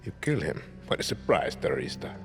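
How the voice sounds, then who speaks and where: A man voicing a middle-aged dictator in a video game, with a Latin American Spanish accent and a deliberate, theatrical, menacing delivery. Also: extremely low pitch, big pitch swings, soft background music, full character voice. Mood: cold menace. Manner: deliberate, dramatic, dropping into breathy hushed menace. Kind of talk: cinematic video game acting.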